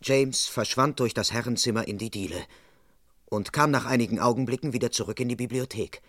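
A man's voice: medium pitch, brisk, a bit bright, no room sound, studio quality, lightly acted. An actor playing a respectful, plain-spoken constable who tells his story in a mid-century German radio crime comedy. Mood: composed narrating. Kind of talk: first-person radio-play narration.

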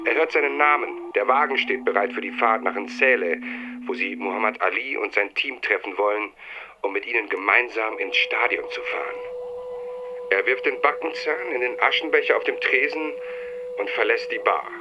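A man's voice: on the low side, normal speed. A man narrating a radio drama in a scripted, present-tense storytelling style. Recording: dull band-limited sound, clear noise.

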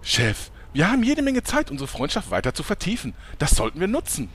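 Man as a wildly animated, chattering sidekick. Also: on the high side, brisk, slightly rough, full character voice. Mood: chirpy, pestering. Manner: chirpy, pestering, theatrical. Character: relentlessly chatty, annoyingly cheerful sidekick. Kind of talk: German comedy audio drama acting.

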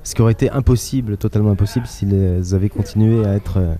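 A man hosting a travel show who prompts his guest with questions in a relaxed conversational interview, recorded outdoors in a public garden. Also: fairly low pitch, quick pace.

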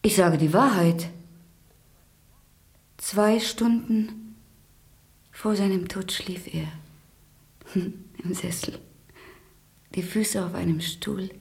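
An actress playing a grieving, bitter grand duchess in a German radio play, halting and often sinking into whispers. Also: female voice, medium pitch, darkish tone. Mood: anguished. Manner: halting, breathy delivery dropping into whispers. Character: grieving widowed Russian grand duchess, pleading, bitter, devout.

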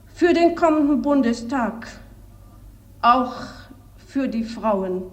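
A woman politician of the late 1940s making a public appeal. Her voice sits a little high. She speaks slowly and with emphasis, and the room around her is echoey. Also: a little mellow. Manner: earnest, appealing, slow and emphatic. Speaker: woman politician giving a speech. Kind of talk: 1940s political speech, documentary radio play.

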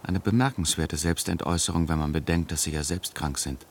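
An actor in a German radio play playing a stern, sharp-tongued religious ascetic who argues in a cutting, dogmatic way. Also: male voice, a bass voice, brisk, slight background noise. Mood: mocking.

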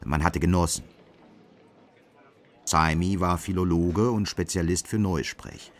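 A man's voice: low voice, unhurried. A man narrating a literary radio drama, telling a dystopian story in a sober, scripted manner. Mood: measured, sober.

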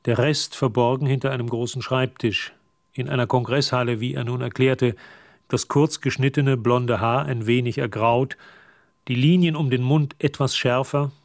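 A man's voice: medium pitch, steady tone, moderate pace, no echo, clean studio sound. A man giving scripted literary narration in a German radio drama.

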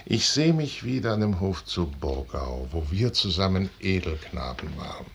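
An actor playing a proud, weary, fatalistic aging general in a grand, measured 1950s radio drama style. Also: male voice, low-pitched, big pitch swings, creaky, exaggerated voice. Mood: weary, musing. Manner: measured, grand, dismissive, declaiming. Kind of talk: 1950s German radio drama stage acting. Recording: noisy 1950s radio recording.

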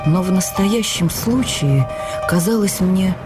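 An actress playing an earnest, wounded woman writer, narrating her memoir for radio in an expressive, confiding, deliberate manner. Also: mid-range voice, over music, natural voice. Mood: hurt, indignant. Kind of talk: dramatized first-person literary narration for radio.

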